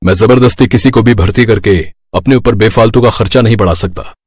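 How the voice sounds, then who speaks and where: A man's voice: on the low side, a little fast, darkish tone, breathy edge, no echo, clean studio sound. A man voicing a firm, frank company boss in a moral story. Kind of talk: Hindi moral story voice acting.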